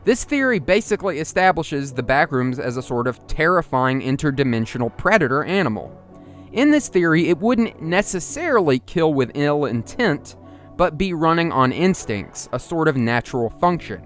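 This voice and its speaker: A man's voice: fairly high pitch, sing-song, normal speed, fairly bright tone. A man narrating an analytical video essay in a musing, explanatory and partly conversational manner. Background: music under the voice.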